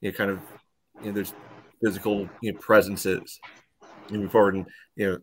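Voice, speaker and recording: A man breaking down a soccer match casually as a podcast panelist, in a halting way peppered with "you know". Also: lowish voice, little pitch movement, a little hiss or hum.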